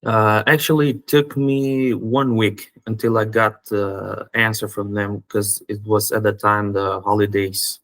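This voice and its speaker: A man's voice: lowish voice, flat delivery, unhurried, hardly any pauses, fairly bright tone, dry recording. A man from North Macedonia answering interview questions in short, hesitant replies. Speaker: guest, student seller.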